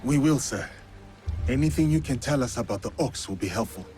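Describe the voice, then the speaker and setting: Man playing a deep, stoic feudal warrior. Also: lively intonation, music in the background, natural voice. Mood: formal, respectful. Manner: measured, formal phrasing. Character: stoic, honourable male warrior in feudal Japan. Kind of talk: video game cutscene acting.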